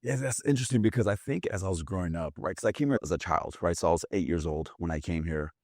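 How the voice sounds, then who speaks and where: A man in his forties, born in Brazil and native in Portuguese, telling his life story as an interview guest, animated, with frequent restarts. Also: low-pitched, quick pace, no room sound, clean studio sound. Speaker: guest, business owner.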